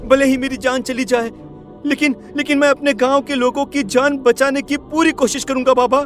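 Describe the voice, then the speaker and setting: Man playing a high-pitched, earnest young villager. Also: flat delivery, moderate pace, fairly bright tone, faint music behind. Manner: earnest, hesitant repetitions. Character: distressed, determined young village man seeking answers. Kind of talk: dramatised horror cartoon story.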